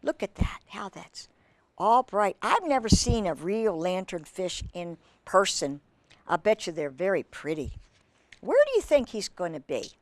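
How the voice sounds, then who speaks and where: A woman's voice: medium pitch, big pitch swings, measured pace, no room sound. A woman reading a picture book aloud to children, expressively, stopping to ask them questions and exclaim over the pictures. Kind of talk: expressive read-aloud with asides to young listeners.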